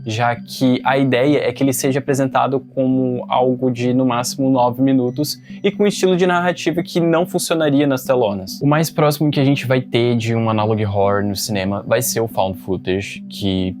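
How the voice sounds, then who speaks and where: A man's voice: medium pitch, fairly even intonation, normal speed, few pauses, a little mellow, soft background music. A Brazilian man hosting and narrating an informative scripted video essay. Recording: faint background noise.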